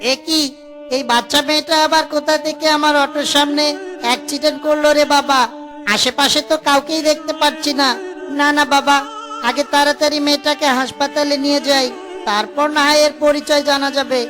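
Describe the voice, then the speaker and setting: High-voiced simple poor fox husband acted by a woman. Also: fairly even intonation, brisk, natural voice. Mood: alarmed. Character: poor, simple-minded, kind-hearted male fox auto-rickshaw driver. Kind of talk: children's cartoon voice acting. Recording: music behind the voice.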